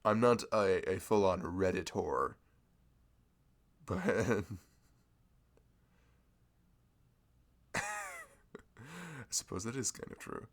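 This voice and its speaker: A man's voice: wildly swooping pitch, takes it slowly, long pauses, heavily acted. A man playing a sweet, bashful young male florist in a chatty, hesitant ASMR roleplay, with a velvety voice. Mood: sheepish, amused. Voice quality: velvety, low, creaky. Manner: chatty, hesitant, rambling, self-deprecating. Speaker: ASMR voice performer. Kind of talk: ASMR roleplay, improvised casual chat.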